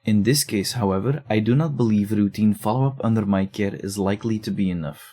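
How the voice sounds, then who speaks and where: Male doctor played by an actor, audio drama. Soft, dark tone, lowish pitch, formal and measured dictation of case notes. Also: natural voice. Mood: grave, concerned. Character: sober doctor reading his clinical case notes.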